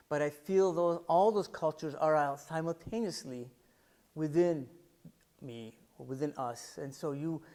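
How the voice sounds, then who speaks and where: Man giving a halting artist talk. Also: a little high, a little mellow. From United States. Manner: thoughtful, searching for words, many restarts and fillers. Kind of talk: artist talk presenting photographs. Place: library art center talk.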